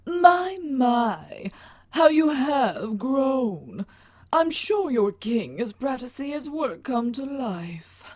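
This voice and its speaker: A woman's voice: high-pitched, big pitch swings, drawn-out words, darkish tone, exaggerated voice. A woman playing a smug changeling queen, drawing out words with theatrical condescension in a fan fiction audio drama. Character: grand, smug villainous insectoid changeling queen.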